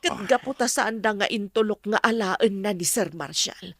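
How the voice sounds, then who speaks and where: An actress playing a frank household confidante in a drama, explaining firmly and with reproach. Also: female voice, medium pitch, moderate pace, a bit bright, no echo, clean studio sound. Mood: solemn, confessing. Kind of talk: drama dialogue.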